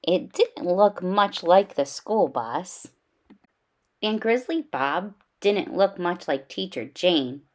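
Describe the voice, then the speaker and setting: A woman reading a children's story aloud in an expressive, animated storytelling style with clear emphasis. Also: lowish voice, slow.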